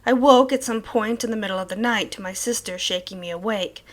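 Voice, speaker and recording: A woman playing a troubled thirty-one-year-old, reading her sleep journal as grave, deliberate first-person horror narration. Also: medium pitch, lightly acted. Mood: grave, uneasy.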